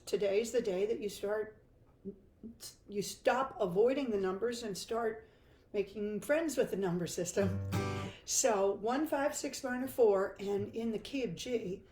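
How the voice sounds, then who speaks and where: An older woman with a hoarse, froggy voice, teaching a chatty guitar lesson live from her home music room. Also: mid-range voice, reverberant. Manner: chatty, self-deprecating, laughs easily. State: hoarse from laryngitis.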